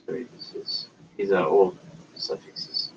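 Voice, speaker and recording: A man teaching a language lesson, explaining step by step in a hesitant, halting way with many pauses. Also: sits low, steady tone, slight background noise. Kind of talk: slow explanatory lecture. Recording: dull lo-fi recording.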